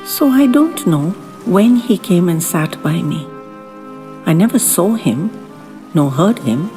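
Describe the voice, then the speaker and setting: A woman narrating a first-person story in a dramatic audiobook style, with theatrical, deliberate, literary phrasing. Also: on the low side, mellow. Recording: long reverb with faint music behind.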